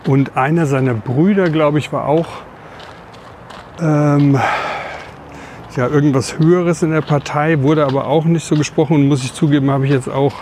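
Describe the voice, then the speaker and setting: A man's voice: on the high side, steady tone, normal speed, darkish tone, a little hiss or hum. A man in his forties from the Odenwald in southern Hesse, co-hosting a podcast and telling rambling personal anecdotes in casual conversation. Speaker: podcast co-host.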